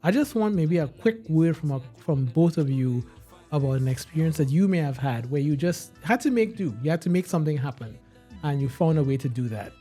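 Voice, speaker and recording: A man's voice: fairly high pitch, lively intonation, a little fast, mellow, faint music behind. A man hosting a podcast in an earnest, upbeat way, laughing easily. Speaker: podcast host.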